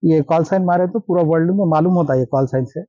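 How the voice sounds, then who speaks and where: A man's voice: on the high side, fast talker, warm tone, no echo. A man with a Hyderabadi accent explains things in a casual interview, mixing English terms into his speech. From Hyderabad, India. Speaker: vintage jeep owner and ham radio operator being interviewed. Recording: dull, dry lo-fi recording.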